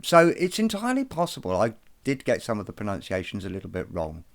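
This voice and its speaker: A man presenting an explanatory lesson, speaking in a slow, measured way and taking care over each pronunciation. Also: fairly low pitch, wildly swooping pitch, vocal fry. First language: not Irish. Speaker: presenter of an educational video.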